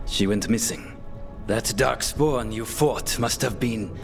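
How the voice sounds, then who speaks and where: An actor playing a grave, ailing, composed guildmaster of assassins in a video game, with a measured, formal delivery. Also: male voice, on the low side, vocal fry, faint music behind. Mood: somber.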